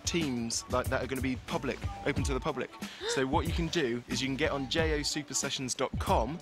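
A man's voice: medium pitch, a little fast. A man with an everyday mid-range voice reads out news in loose, rambling chat. He sits outdoors at a snowy resort, and music runs underneath. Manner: relaxed, rambling, teasing. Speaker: show co-host. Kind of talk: casual chat, reading news.

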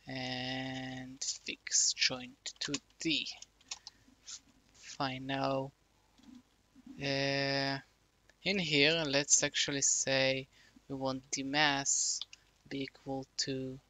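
A man slowly talking through a coding tutorial, thinking aloud with many fillers. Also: medium pitch, bright, forceful tone. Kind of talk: casual screen-recorded coding tutorial.

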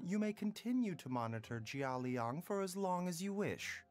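Brief: gentle, ailing male physician, courteous and soft, game. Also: fairly high pitch. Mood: polite. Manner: calm, courteous and soft-spoken. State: coughing, weakened. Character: gentle, frail young male doctor hiding illness. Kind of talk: video game voice acting.

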